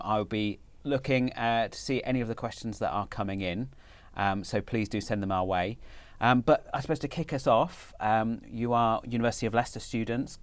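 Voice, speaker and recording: A man hosting a university student panel, upbeat but halting, with many fillers and restarts. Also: on the low side, no echo. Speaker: panel host, university recruitment head.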